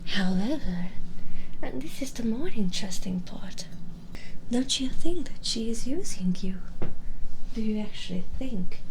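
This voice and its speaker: Woman acting slow, whispering, cruel vampire lady. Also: medium pitch, background noise. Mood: mocking contempt. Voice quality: breathy, half-whispered. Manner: slow, menacing, mocking, addressing the listener directly. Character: cruel, vengeful immortal female vampire, sneering and taunting. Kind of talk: whispered ASMR audio roleplay. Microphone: close binaural microphone.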